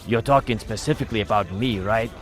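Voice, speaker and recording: A man's voice: on the low side, quick pace, close to an everyday voice. A man voicing a loyal, earnest and slightly dim cartoon sidekick with a naive manner. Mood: sheepish. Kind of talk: cartoon comic dub acting. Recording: music behind the voice.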